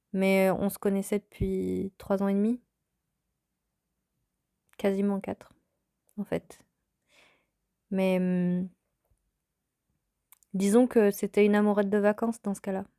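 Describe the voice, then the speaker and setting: A woman in her thirties hosts a personal storytelling podcast, speaking in a calm and reflective way. Her voice is mellow and soft-edged, with little rise and fall in pitch. She is recorded close to the mic in a dry, dead-sounding space. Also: slow pace, long pauses, dry recording. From France. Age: 30s. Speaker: podcast host.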